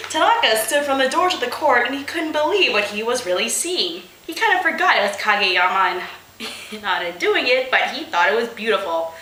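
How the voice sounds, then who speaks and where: A woman hosts a comedic read-aloud in a slightly high, very bright voice. She takes her time, sounding amused and exasperated as she drops in asides. The room around her is noticeably echoey. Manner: amused, breaks into giggles while reading, exasperated asides. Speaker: host of a fanfiction reading show. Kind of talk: comedic dramatic reading with asides. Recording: roomy, echoing room sound.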